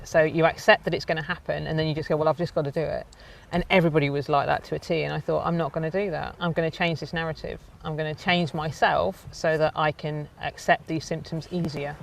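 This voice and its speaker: A woman's voice: sits low, fairly even intonation, fairly quick, vocal fry. A woman in her fifties candidly telling her own story in a reflective way. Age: 50s. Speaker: interviewee. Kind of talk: personal testimony. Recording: slightly distant mic with faint background noise.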